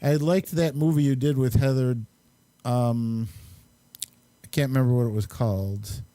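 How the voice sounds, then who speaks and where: A man's voice: mid-range voice, steady tone, a little slow, mellow, dry recording. A man hosting a casual chat and reviewing movies in a rambling, hesitant way. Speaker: host and amateur filmmaker.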